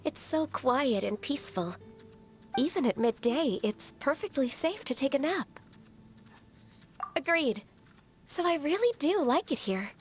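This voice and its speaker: A woman voicing a gentle, shy, dutiful half-human young woman in a video game, speaking politely and formally with some hesitance. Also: high-pitched, lively intonation, a little slow, long pauses. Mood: polite, serene, then bashful. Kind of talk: video game character dialogue.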